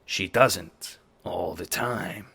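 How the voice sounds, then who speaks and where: A man voicing a smooth male mob schemer who speaks slowly and deliberately, making every word hang. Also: low-pitched, fairly bright tone, full character voice. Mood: scheming. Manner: slow, measured, hypnotic.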